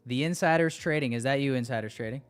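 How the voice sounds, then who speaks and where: A man's voice: mid-range voice, quick pace, clear, resonant tone, faint music behind. A man hosting a livestream, chatting casually with his viewers in a slangy, joking way and swearing freely. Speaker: livestream host.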